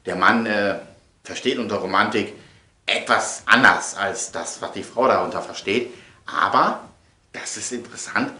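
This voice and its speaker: Middle-aged male vlogger, forceful tone, theatrical and rambling delivery, roomy echoing sound. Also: fairly low pitch. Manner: emphatic, theatrical, repeats phrases. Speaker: vlogger and audio play producer. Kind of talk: casual, rambling monologue to viewers. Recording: echoey room.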